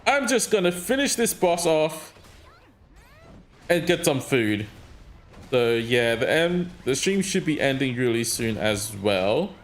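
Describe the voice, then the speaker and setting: A man's voice: mid-range voice, big pitch swings, takes it slowly. A man streaming a mobile game, chatting casually and answering his chat in a rambling way. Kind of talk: casual live-stream chat while gaming.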